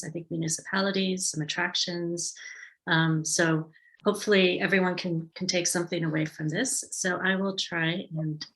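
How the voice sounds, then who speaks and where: American woman, low gravelly webinar voice. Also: a bit bright, poor audio. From United States. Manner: friendly, loose delivery with frequent ums and restarts. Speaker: tourism marketing advisor presenting a webinar. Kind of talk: conversational online presentation. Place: indoors at home base in a city. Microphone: video call.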